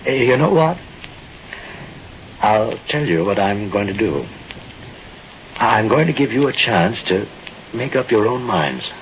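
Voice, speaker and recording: An actor playing a godlike, fatherly older man who speaks slowly and persuasively in an old-time radio drama. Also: fairly low pitch, very animated. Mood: fatherly, frank. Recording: dull old radio recording, noisy. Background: rain and thunder.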